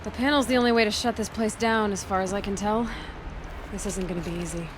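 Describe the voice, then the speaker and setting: A woman performs a level-headed young adventurer from a video game. She speaks in a medium register, plainly and practically, with a patient, wry edge. Noticeable rumbling noise surrounds her voice. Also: steady tone, fast, natural voice. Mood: skeptical. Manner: plain-spoken, matter-of-fact. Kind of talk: video game character acting.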